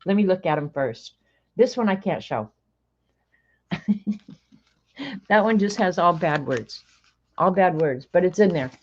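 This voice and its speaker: A woman's voice: on the low side, animated, a little slow, long pauses. A woman in her sixties chatting casually on a livestream as she opens mail, thinking aloud and laughing often. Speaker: streamer opening mail on stream. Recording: slightly dull lo-fi stream audio.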